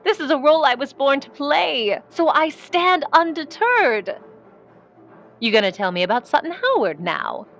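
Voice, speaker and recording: An actress playing an ambitious, sardonic aspiring film star in a 1940s-style noir audio drama, dry and theatrical. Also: female voice, high-pitched, sing-song, moderate pace, fairly bright tone, soft background music, exaggerated voice. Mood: defiant.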